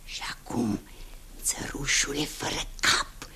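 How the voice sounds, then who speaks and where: A woman's voice: bright, forceful tone, in a whisper, natural voice. An actress playing a scheming old witch who laughs and mocks in a sly sing-song voice, in 1960s radio drama acting.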